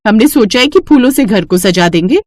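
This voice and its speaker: Woman acting a lively, reassuring young daughter-in-law. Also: a little high, a little fast, no room sound, studio quality. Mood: concerned, reassuring. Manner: eager, respectful, reassuring.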